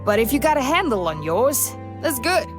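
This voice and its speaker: Tough older bartender, highish voice. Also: female voice, very animated, moderate pace. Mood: world-weary. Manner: folksy, no-nonsense. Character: tough, world-weary older female bartender, motherly. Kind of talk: visual novel character acting. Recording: music behind the voice.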